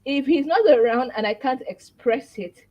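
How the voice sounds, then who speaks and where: Husky-voiced wife on a lo-fi call. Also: female voice, sits high, normal speed. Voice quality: tiny voice. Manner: expressive, candid. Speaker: guest, wife. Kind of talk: interview chat.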